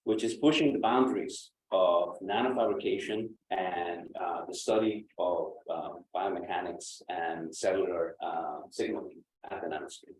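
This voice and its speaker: A man who is a professor, hosting a seminar and giving a formal introduction, measured, with filler sounds. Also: low-pitched. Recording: distant mic in an echoey room with faint noise.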